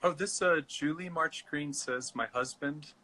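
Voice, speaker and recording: An American man hosting a casual interview, encouraging and a little halting in his phrasing. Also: on the high side, background noise. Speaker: show host, interviewer. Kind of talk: casual chat.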